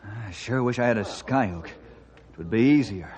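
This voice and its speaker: A man's voice: lowish voice, expressive, normal speed, natural voice. A man playing an earnest, commanding ranger leader in an old-time radio adventure. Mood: focused. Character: decisive, earnest ranger leader, hero type. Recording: dull, hissy vintage radio recording.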